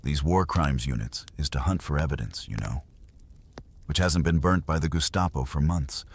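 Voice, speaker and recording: A man narrating history in an unhurried, conversational way, as if explaining to a listener. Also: a bass voice, husky. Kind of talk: documentary history storytelling.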